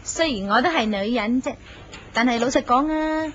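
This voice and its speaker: A gossipy office coworker teases her colleagues, as a woman acts her out. Her voice sits high. The recording is dull and lo-fi, with a faint hiss beneath. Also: moderate pace, a bit bright, natural voice. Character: cautious female office coworker. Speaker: voice actress in a radio drama.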